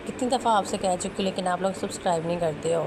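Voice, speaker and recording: Hoarse young mother chatting. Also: lowish voice, fast. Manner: chatty, fond teasing of her little daughter, frequent throat clearing. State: sore throat, hoarse. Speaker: family vlogger, mother. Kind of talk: casual voice-over narration. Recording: voice with clear background noise.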